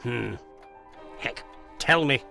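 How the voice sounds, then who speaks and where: An actor playing a cynical, excitable Victorian news agency man in a video game, with a British accent and a colloquial, scoffing manner. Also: mid-range voice, very slow, creaky, faint music behind, heavily acted. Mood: scoffing, grudging. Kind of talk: scripted video game acting.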